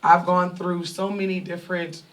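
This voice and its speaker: A woman in her thirties from California talks openly to a live audience at an event. She is animated and often breaks into laughter. Her voice sounds somewhat distant from the microphone. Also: fairly low pitch, normal speed. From Sacramento, California. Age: 30s. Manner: animated, laughing, loud bursts of excitement. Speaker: online creator speaking at fan event. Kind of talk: candid talk to a live audience.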